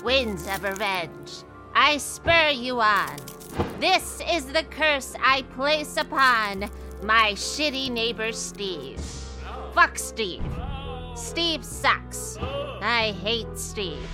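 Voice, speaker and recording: Cranky old witch, high-pitched, slow, scolding, comedy radio drama, music behind. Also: sing-song, heavily acted. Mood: vengeful incanting. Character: cranky old witch woman, vengeful, foul-mouthed homeowner. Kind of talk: comedy horror radio play acting.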